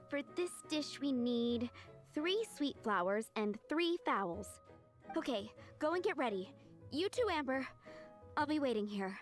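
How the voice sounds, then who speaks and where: A friendly young restaurant woman in an anime game. Her voice is high and very animated, with an effusive, encouraging delivery. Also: a little slow, soft background music. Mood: businesslike, urgent. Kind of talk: anime video game voice acting.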